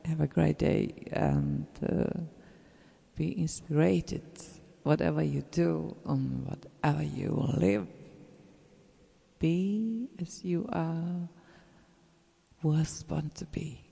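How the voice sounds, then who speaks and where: A speaker with a German accent, a native German speaker, giving an earnest, encouraging message to fellow artists, halting and searching for words with many fillers, and trailing into soft whispers. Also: medium pitch, vocal fry, breathy edge. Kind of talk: casual spoken message to listeners. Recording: roomy with long reverb.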